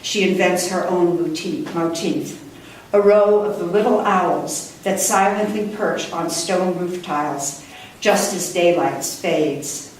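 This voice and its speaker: A woman poet reading her own work live at a book launch, with a measured, deliberate delivery and careful pauses. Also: on the low side. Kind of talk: live poetry reading with brief introductions. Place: indoor room before a live audience. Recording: distant mic, echoey room, faint noise.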